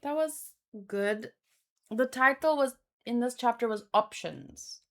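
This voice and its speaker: A woman with a fairly high voice hosts a casual read-along commentary. She speaks slowly and muses out loud. Her delivery is hesitant, with words often repeated as she thinks. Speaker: host of a manga read-along.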